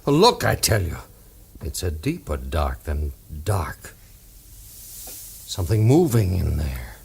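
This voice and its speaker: An actor playing a headstrong, curious man in a vintage American radio horror drama, gravelly and melodramatic, on a hissy old recording. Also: deep voice, big pitch swings, heavily acted. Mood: grim fascination. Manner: slow, intense, melodramatic delivery. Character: headstrong man called Doc, curious, then gripped by terror. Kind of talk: 1930s-40s American radio horror acting.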